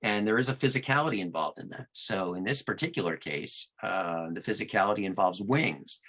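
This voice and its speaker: Male interview guest, lower register, clear forward brightness, deliberate explainer talking shop. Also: low-quality recording. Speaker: audiobook narrator and producer, podcast guest. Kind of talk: conversational interview answers.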